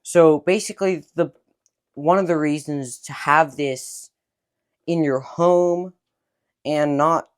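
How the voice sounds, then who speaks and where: A man's voice: fairly high pitch, steady tone, takes it slowly, long pauses, clear, resonant tone. A man giving a casual hands-on demonstration of a radio, explaining each control in turn and pointing things out as he goes.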